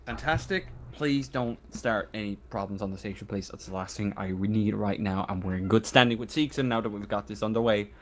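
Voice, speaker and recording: A man's voice: on the low side, fairly quick, hardly any pauses, lightly acted. A flustered station lawman in a sci-fi roleplaying game, played by a man. He stammers and hesitates, grudging and pleading for no trouble. There is faint noise behind the voice. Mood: weary pleading.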